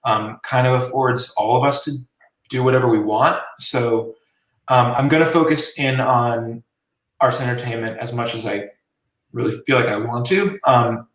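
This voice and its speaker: A man's voice: medium pitch, normal speed, fairly bright tone, recorded from a distance. A man in his late twenties, a musician and journalist being interviewed, talking casually and rambling, with many fillers. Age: 20s. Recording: roomy and from the mic.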